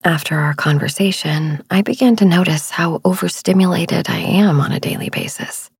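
Breathy, hushed woman guiding sleep. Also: fairly low pitch, moderate pace, no room sound, clean studio sound. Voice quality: breathy, hushed, often near a whisper. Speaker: podcast host and sleep meditation guide. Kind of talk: soft, soothing sleep podcast narration.

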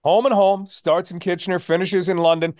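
A man hosts a sports interview in a somewhat high-pitched voice. He sets up his questions like a presenter, with emphasis on key words. The recording is dry, free of room sound. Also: level tone, fairly quick, darkish tone, no room sound. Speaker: sports podcast host and interviewer.

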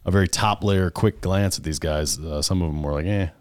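A man's voice: deep voice, a little fast. A man co-hosting a casual podcast, laughing often and poking fun at himself. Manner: laughing, self-deprecating. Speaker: podcast co-host.

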